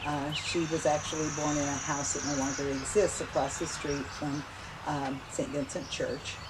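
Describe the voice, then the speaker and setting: Older woman, low voice, reminiscing casually; outdoor insect noise behind. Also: flat delivery, normal speed. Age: 70s. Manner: chatty, reminiscing, laughs easily. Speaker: interviewee. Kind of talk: family memories interview. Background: insects and birds.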